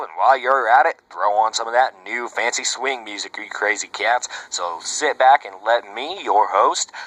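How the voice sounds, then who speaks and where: A man's voice: on the low side, pushed and bright. A man playing a brash, slangy 1930s swing-era radio host with a showy, chummy patter, on a noisy amateur recording. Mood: showy, upbeat. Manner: showy, chummy, slangy, asks rhetorical questions.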